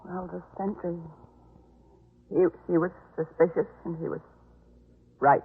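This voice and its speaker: Casting: penitent outcast woman, anxious and pleading, breathy voice, halting delivery, old radio drama, thin narrow-band sound. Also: on the low side, full character voice. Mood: timid, grateful. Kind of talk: 1950s radio drama acting. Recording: muffled, narrow-band 1950s radio recording.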